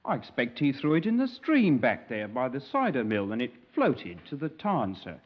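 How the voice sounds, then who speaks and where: An actor playing an eager, artless young lad, deferential and literal-minded, in a 1950s radio drama. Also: male voice, mid-range voice, wildly swooping pitch, moderate pace. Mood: innocent. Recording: dull 1950s radio recording.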